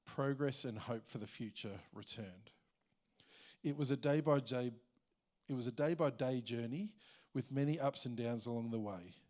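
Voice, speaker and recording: A man's voice: medium pitch, normal speed, darkish tone. Man reading an earnest testimony. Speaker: church member sharing testimony.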